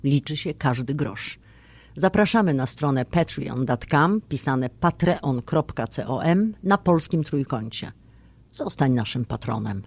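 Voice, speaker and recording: A man's voice: mid-range voice, expressive, measured pace, gravelly. A man announcing a plain scripted appeal for a podcast.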